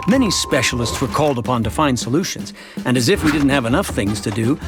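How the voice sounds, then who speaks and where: A man playing a chatty, wry middle-aged suburban family man who narrates his town's story in an animated short. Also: a little high, natural voice. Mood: storytelling. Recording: music behind the voice. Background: music.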